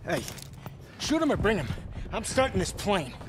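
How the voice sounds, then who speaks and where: An actor playing a gruff, impatient male Allied pilot in a video game cutscene, blunt and dry. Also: high voice, very animated, normal speed, noisy background.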